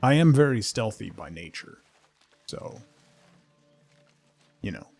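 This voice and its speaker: Male game streamer, casual and playful, unhurried delivery with gravelly fry and faint music behind. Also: fairly low pitch, sing-song, darkish tone, dry recording. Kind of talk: casual live game commentary, chatting to viewers.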